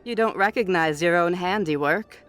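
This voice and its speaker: Guy doing a stern autumn fae hunter noble, smooth clean voice, dry n pointed, faint music behind, audio rp. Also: high-pitched, moderate pace, fairly bright tone. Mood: accusing. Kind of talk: scripted audio roleplay acting.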